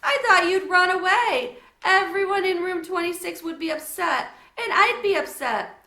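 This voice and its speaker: A woman voicing a distraught little girl in a storybook, with a loud, dramatic, tearful wail. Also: extremely high pitch, moderate pace, echoey room, pitched above the performer's own voice. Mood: upset. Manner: wailing, dramatic, tearful delivery.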